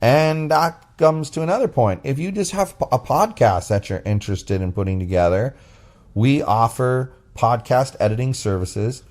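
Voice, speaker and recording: A man hosting a casual podcast with his wife, talking in a deep, bassy voice and rambling loosely with restarts and fillers. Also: darkish tone. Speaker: podcast host. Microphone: phone app recording.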